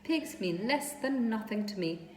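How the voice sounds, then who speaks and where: A woman's voice: mid-range voice, lively intonation, measured pace, darkish tone, natural voice. A woman voicing a haughty, dismissive young lamb with a curt manner in a storybook reading. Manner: curt, snooty. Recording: echoey room with clear background noise.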